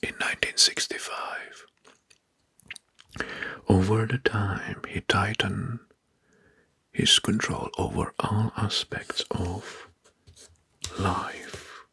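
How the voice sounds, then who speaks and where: A man narrating history as ASMR in a breathy, hushed whisper, slowly and deliberately, softly repeating words. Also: fairly low pitch, steady tone, no room sound.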